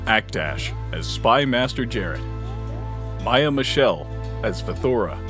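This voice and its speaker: A man's voice: lowish voice, big pitch swings, unhurried. A man reading scripted end credits as an announcer for an audio drama. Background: music bed.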